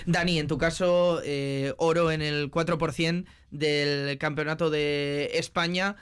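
High-pitched, even-toned male interviewer from Spain. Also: slow pace, clean tone. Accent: Spanish, from Spain. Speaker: radio sports interviewer. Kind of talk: conversational sports interview.

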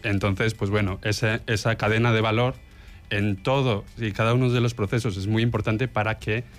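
A man from Colombia with a low voice talks as a guest on the radio, pausing with lots of fillers as he explains. Light music is faint in the background. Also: steady tone. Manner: hesitant, many fillers. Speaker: barista guest. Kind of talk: radio interview.

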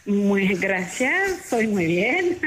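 A woman with a French accent, a native French speaker, giving informative interview answers as a guest and searching for words in halting non-native speech. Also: mid-range voice, very animated, crowd noise. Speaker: interview guest, tourism office deputy director.

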